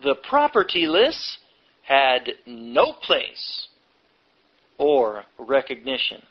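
A man reading aloud like a preaching commentator, emphatic and deliberate, drawing out words between pauses. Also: mid-range voice, huge pitch swings, muffled recording. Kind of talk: reading a history book aloud with preachy asides.

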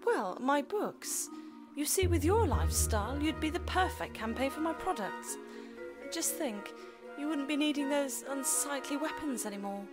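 An actress playing a smug, haughty villainess in a game, silky and condescending. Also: female voice, high-pitched, lively intonation, normal speed, loud music behind the voice. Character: smug, haughty villainess selling her products.

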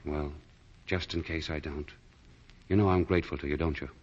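An actor playing a suave, coolly resolute condemned fugitive in a 1940s radio drama. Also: male voice, extremely low pitch, fairly even intonation, moderate pace. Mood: grateful. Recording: muffled vintage 1940 radio broadcast.

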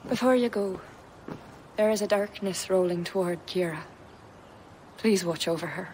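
An actress playing a solemn, mysterious forest druid in a video game, speaking in a grave, measured, incantatory way. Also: female voice, medium pitch, darkish tone, slight background noise, close to an everyday voice, low-quality recording. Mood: grave, urging. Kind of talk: video game cutscene acting.

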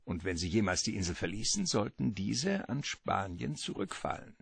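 An actor playing a genteel, long-winded villain obsessed with treasure in a radio drama, florid and courteous. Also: male voice, fairly low pitch, very animated, normal speed, vocal fry, husky, no room sound, heavily acted. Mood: relishing, lecturing. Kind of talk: radio drama acting, storytelling monologue.

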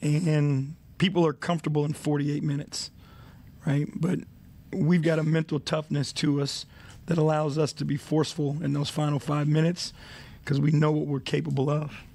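Coach type, man in forties, gravelly, flat-toned, slightly high, measured answers on a desk mic. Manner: measured, many fillers, reflective. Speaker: head coach. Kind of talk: postgame press conference. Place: arena press room.

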